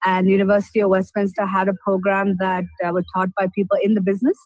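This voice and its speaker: A woman's voice: mid-range voice, level tone, fast, darkish tone, no room sound, poor audio. Young woman, close dry sound. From India. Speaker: business graduate, study-abroad alumna. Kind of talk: personal testimonial interview.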